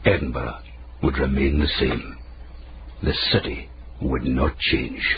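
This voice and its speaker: Extremely deep, solemn brooding man. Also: little pitch movement, takes it slowly. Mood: grave. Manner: solemn, declarative. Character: grave, brooding man musing on an unchanging city. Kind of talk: period radio drama monologue. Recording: muffled, very noisy vintage radio recording.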